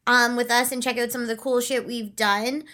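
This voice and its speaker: Bright-voiced woman cohost, chatty, lots of um and like. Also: a little high, level tone, moderate pace. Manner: chatty, laughs often, many fillers. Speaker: podcast co-host. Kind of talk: casual chat.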